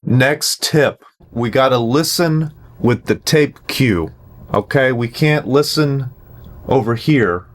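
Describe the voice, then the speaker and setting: A man's voice: medium pitch, slow pace. A man narrating a casual home-recording vlog as host, in a wry, self-deprecating way.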